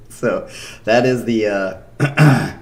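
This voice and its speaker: A man in his thirties, hoarse from a day of drinking, chatting loosely and rambling to his stream audience into a desk microphone. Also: mid-range voice, extremely slow. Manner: rambling, chatty, laughs often. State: hoarse after a night of drinking. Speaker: streamer reacting to music. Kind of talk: casual chat to a live stream.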